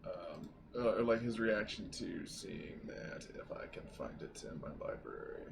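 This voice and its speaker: A man's voice: sits low, huge pitch swings, measured pace, vocal fry, very noisy. A man chatting informally as a player in a tabletop game, given to swearing. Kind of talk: casual game chat.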